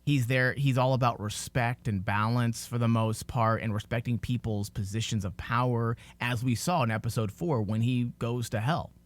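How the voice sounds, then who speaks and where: A man talks as a podcast host in a casual fan discussion. His pitch sits in the middle, and he stresses points by repeating his phrases. The sound is dry, with little room around it. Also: normal speed, few pauses, no room sound.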